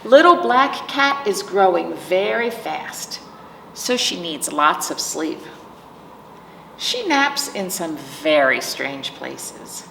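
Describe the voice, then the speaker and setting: A woman reads aloud to young children in an animated, unhurried way, with some creak in her voice. She hosts a storytime, pausing to explain things simply and put questions to the listeners. The sound is roomy and a little distant from the mic. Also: mid-range voice, slight background noise. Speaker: library storytime host reading picture books.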